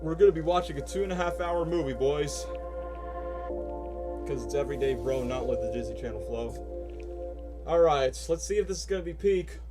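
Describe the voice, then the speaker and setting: Male streamer, rambling and heated, slightly high pitch. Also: animated, moderate pace, pauses often, music in the background. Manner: rambling, heated ranting with fillers. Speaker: streamer and voice actor. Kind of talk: casual live-stream reaction chat.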